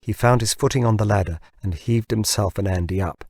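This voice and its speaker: Male audiobook narrator needed: low, husky voice, scripted fiction read in a very dry setting. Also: brisk, darkish tone, no echo.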